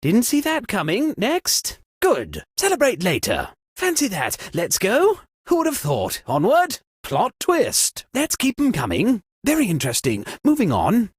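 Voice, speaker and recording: Posh gentry host for a comedy game, super melodramatic, pitch all over the place, kinda husky. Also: male voice, huge pitch swings, unhurried, no room sound, exaggerated voice. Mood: pompous, droll. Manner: pompous, melodramatic, exclamatory. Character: pompous, dramatic landed-gentry party host, theatrically aghast. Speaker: game character hosting a murder mystery party. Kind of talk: theatrical comedic video game voice lines.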